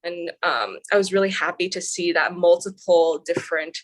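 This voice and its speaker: A woman's voice: on the low side, normal speed, low-quality recording. A young woman from the San Francisco Bay Area, a student senator, giving earnest, articulate interview answers with frequent "um" fillers. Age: young adult.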